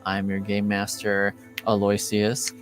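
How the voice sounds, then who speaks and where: Guy hosting a tabletop rpg stream, really slow n halting, music playing behind him. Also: lowish voice, monotone. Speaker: game master and host.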